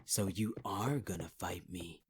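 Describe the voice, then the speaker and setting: A man's voice: low-pitched, measured pace, husky. A man performing a possessive male villain in an ASMR audio roleplay, speaking close to the mic in a taunting, half-whispered voice and calling the listener sweetheart. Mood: menacing.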